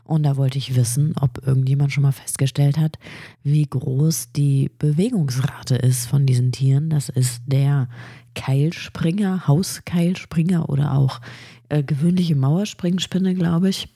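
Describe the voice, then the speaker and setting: A woman with a cold chats eagerly through nerdy facts on her own podcast, her blocked nose coloring every word. Her voice sits low, dark and husky. The recording is close and dry, with no room around her. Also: unhurried, dry recording. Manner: chatty, enthusiastic about nerdy facts. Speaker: podcast host. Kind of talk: casual solo science podcast.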